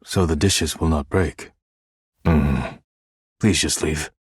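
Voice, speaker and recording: Video game role: stern living-dishware man, deep register, close dry sound, clipped formal delivery, deadpan and proud. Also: slow, slightly rough, no echo, exaggerated voice. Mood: curt, solemn. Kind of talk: scripted game character dialogue.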